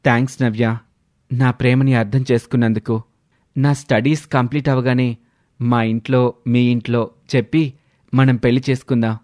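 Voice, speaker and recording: A man's voice: mid-range voice, little pitch movement, moderate pace, darkish tone, dry recording, studio quality, lightly acted. A man playing an earnest young college boy in love in an audio story. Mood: sincere, loving. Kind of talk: scripted audio story dialogue.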